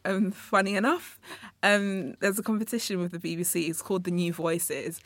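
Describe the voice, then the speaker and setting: A woman's voice: on the low side, level tone, normal speed, no echo. A young woman from Congo, raised in England, hosting her own podcast in a studio, chatting in a rambling way straight to her listeners. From born in Congo, raised in England. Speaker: podcast host. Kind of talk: casual solo podcast monologue.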